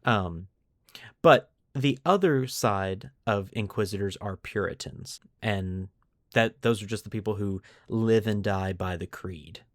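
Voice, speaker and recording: A man's voice: lowish voice, a little slow, no echo, studio quality. A man hosting a podcast, explaining lore to friends in an informal, enthusiastic way. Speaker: podcast host.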